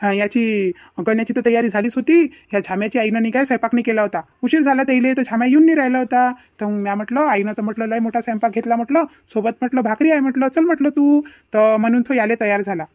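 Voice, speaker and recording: A woman voicing an excitable village youth in a cartoon comedy, full of excuses and bluster, with a Varhadi Marathi accent. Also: on the high side, fast talker, few pauses, dry recording, close to an everyday voice. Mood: placating. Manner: evasive, blustering.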